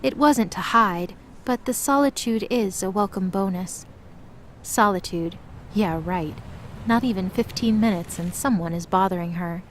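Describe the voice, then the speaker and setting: A woman's voice: mid-range voice, unhurried. A woman reading scripted narration for a mythological audio drama. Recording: clearly noisy background.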